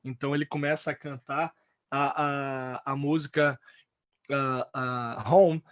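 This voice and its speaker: A Brazilian man teaching an online English lesson, lively and full of fillers and restarts. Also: mid-range voice, slow pace, dry recording, clean studio sound.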